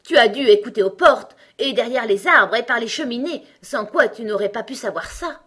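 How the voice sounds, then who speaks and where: Lady doing a pompous grumpy egg guy for a kids story, raised pitch, very condescending and emphatic. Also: expressive, normal speed, a bit bright. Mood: indignant, angry. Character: pompous, irritable male talking egg. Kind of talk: storybook character acting.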